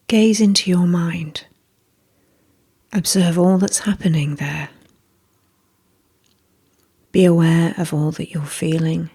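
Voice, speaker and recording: A woman with a soft, breathy, husky voice calmly narrating a scripted guided meditation in a gentle, measured way. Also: lowish voice.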